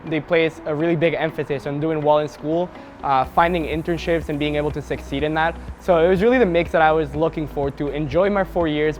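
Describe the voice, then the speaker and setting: A young man from Mexico City, a university student, gives a relaxed testimonial. His voice sits slightly high, and he speaks casually, filling his pauses with "uh." Soft music plays faintly underneath. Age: young adult. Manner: relaxed, with frequent "uh" fillers. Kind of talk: testimonial to camera.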